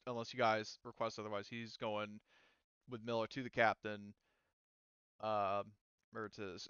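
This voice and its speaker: A man improvising narration as a game master, halting as he searches for words. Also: lowish voice, a bit bright, clear, resonant tone, no room sound, lo-fi sound.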